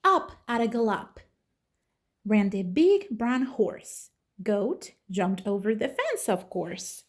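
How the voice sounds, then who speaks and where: A woman reading a children's storybook aloud as a toddler teacher, in an expressive manner. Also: mid-range voice. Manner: slow, animated, expressive.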